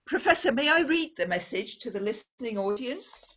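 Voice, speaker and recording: A woman plays an earnest female radio reporter with a bright, projected voice that swings widely in pitch. She is poised and formal, stressing her words deliberately in the style of an old-time radio drama. The sound is slightly dull and lo-fi. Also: big pitch swings. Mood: formal, urgent.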